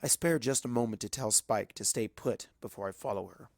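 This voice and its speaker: A man's voice: fairly low pitch, brisk, vocal fry, darkish tone, no echo. A man reading a first-person story aloud as dramatic scripted narration. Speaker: audiobook narrator of fan fiction.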